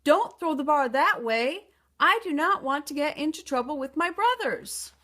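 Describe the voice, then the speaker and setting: A woman's voice: high-pitched, animated, normal speed, bright, forceful tone, voice pushed up. A woman voicing a big, blustering but easily worried male giant in a storybook, exclaiming and protesting. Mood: panicked protest.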